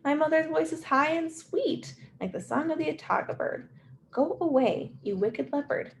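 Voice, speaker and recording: A woman's voice: high voice, expressive, moderate pace, gravelly, a little hiss or hum, voice pushed up. A woman voicing a cautious, clever little girl in a storybook read-aloud, speaking firmly and warily. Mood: firm refusal.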